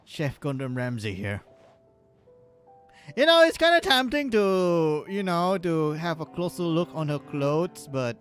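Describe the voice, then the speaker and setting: A man giving casual livestream game commentary in a halting, joking way, often repeating his words. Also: on the high side, sing-song, smooth. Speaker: live streamer. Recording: game music behind the voice.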